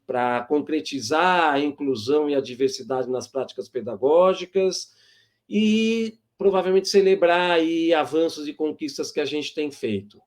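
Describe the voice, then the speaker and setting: Measured Brazilian man moderating a panel. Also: fairly high pitch. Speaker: host and moderator. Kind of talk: informal panel moderation in a livestream.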